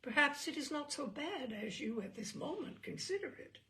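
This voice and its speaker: A woman voicing a calm, reasonable male clergyman friend in a story reading, measured and soothing. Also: high voice, voice pushed up, full character voice.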